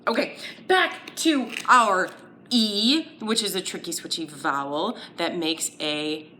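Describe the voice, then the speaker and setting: A woman's voice: on the low side, slow, bright, forceful tone, a little hiss or hum. A woman leading a children's story time lesson, playful and exaggerated, encouraging little listeners with questions and sing-along phrases.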